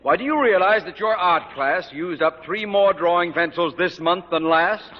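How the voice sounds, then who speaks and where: An actor playing a pompous, irascible middle-aged school principal who scolds in formal, blustering speeches in a vintage radio sitcom. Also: male voice, normal speed, natural voice. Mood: scolding. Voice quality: blustering, booming delivery. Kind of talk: 1950s radio sitcom acting. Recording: muffled old radio recording, noisy, reverberant.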